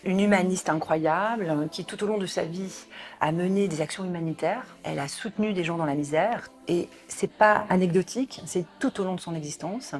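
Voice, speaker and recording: A woman in her late forties from southern France, a philosophy teacher speaking in a thoughtful, measured way. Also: lowish voice. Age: 40s. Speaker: philosophy teacher, podcast host. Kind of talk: calm spoken portrait.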